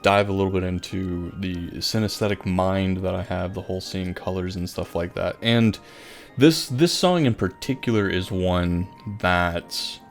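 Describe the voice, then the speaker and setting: A man with a low voice hosts a gaming video in a casual, rambling style. He talks informally and with enthusiasm, and faint music plays behind him. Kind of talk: casual Minecraft time-lapse commentary. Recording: voice over background music.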